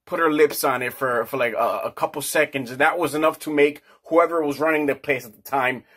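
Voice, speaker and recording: A man's voice: a little high, brisk, fairly bright tone, breathy edge. A man in his forties giving a casual, crude and rambling rant in a small indoor office. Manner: brash, crude, slangy, rambling. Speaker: vlogger.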